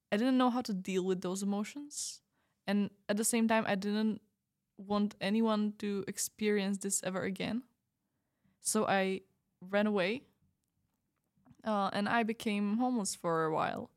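Woman playing a slow-speaking, reflective former runaway. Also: medium pitch, fairly even intonation, no echo, studio quality, lightly acted. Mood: subdued, reflective. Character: subdued former runaway woman who found a community. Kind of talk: fiction podcast roleplay as confessional interview.

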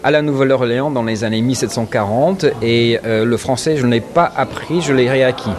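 A man's voice: medium pitch, brisk. A man from New Orleans, a guest on a radio interview, speaking thoughtfully with many hesitations. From Louisiana, New Orleans. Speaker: interview guest, cultural association president.

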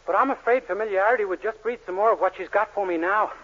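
A naive, eager young male clerk played by an actor in a 1940s radio comedy. He talks fast in a high, guileless voice. The recording sounds muffled and old. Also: heavy background noise, natural voice. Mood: earnest, pleading. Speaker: sitcom lead actor.

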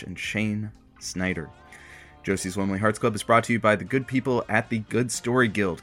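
A man delivers scripted closing credits for a podcast as its announcer. His pitch is on the low side, and music plays faintly behind him. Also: a bit bright.

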